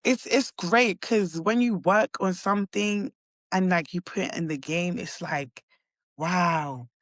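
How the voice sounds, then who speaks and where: A woman's voice: lowish voice, slow pace, dry recording. A young woman with a London accent, chatting as a guest in an interview and talking hesitantly, with lots of likes and restarts. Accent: London English. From London, England. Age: young adult. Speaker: college basketball player guest. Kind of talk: casual interview chat.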